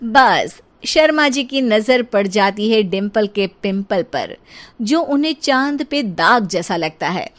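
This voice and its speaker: A woman narrating a cartoon story in a lively storytelling manner. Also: medium pitch, slow.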